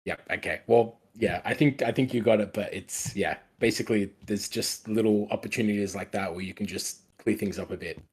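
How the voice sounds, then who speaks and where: A man giving casual script feedback in an online meeting in a polite, tentative, halting way. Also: lowish voice, slightly rough. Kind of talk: casual spoken critique. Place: indoors on an online meeting call.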